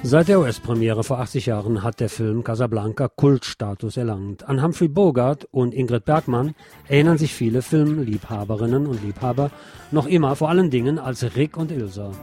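A man's voice: medium pitch, moderate pace, over music. A man narrating a scripted documentary.

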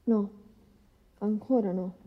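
A woman's voice: mid-range voice, fairly even intonation, slow, phone-quality sound. A woman playing a guarded young victim who testifies in short, plain answers in a courtroom radio drama. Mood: pained, restrained. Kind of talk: courtroom docudrama radio acting.

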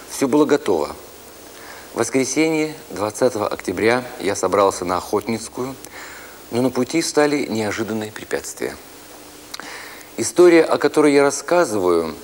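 A man reading a literary story as a narrator, expressively, with deliberate phrasing and pauses. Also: medium pitch. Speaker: narrator, actor reading an audiobook.

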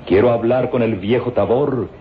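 An actor playing an eager, covetous professor in an old radio serial, insistent and weighty. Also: male voice, mid-range voice, moderate pace, a little hiss or hum, natural voice. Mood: fervent persuasion. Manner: dramatic, insistent, weighty delivery. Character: eager, covetous male professor obsessed with ancient tombs. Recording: dull old radio recording, faint music. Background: faint dramatic music.

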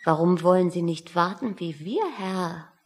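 A woman's voice: fairly low pitch, a little slow, a little mellow. A woman acting a questioning, wry character in a radio play, with a probing, dry way of talking. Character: questioning, wry woman waiting outside a meeting.

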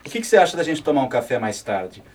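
Man playing a chatty brazilian newsstand guy in a radio play, lively pitch, sounds way off the mic. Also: fast talker, distant mic. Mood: friendly. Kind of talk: scripted conversational radio drama.

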